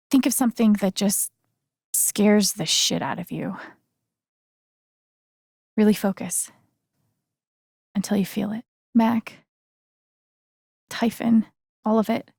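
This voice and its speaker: An actress playing an earnest, gentle young woman in a video game, speaking softly and haltingly with sincere, naturalistic delivery. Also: mid-range voice, creaky, husky, no echo, studio quality, heavily acted. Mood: earnest, guiding, then hushed, sorrowful.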